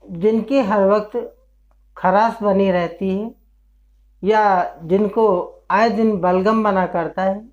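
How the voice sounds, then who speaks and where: A man who works as a traditional herbal healer, explaining a home remedy in a slow, repetitive way and listing one ailment after another. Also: high voice, steady tone, darkish tone. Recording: dull lo-fi sound.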